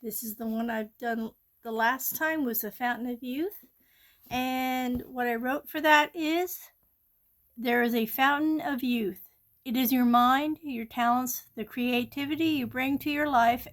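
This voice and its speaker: A woman's voice: on the high side, fairly even intonation, measured pace. A woman hosting an art channel, chatting casually while she sketches, a little congested from allergies and often dropping to a near-whisper.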